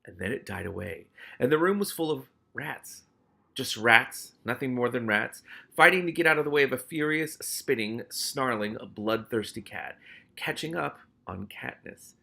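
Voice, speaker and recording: Animated male reader with a bright voice. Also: medium pitch, normal speed, creaky. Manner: dramatic, exclamatory, swears in reaction. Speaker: host reading a novel aloud with commentary. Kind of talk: expressive audiobook-style reading with casual reactive commentary.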